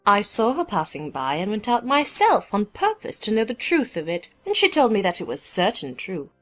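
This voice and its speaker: A woman's voice: medium pitch, huge pitch swings, normal speed, fairly bright tone, faint music behind. A woman voicing an eager, gossiping middle-aged sister in a dramatized audiobook, speaking emphatically. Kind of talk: dramatized audiobook character acting.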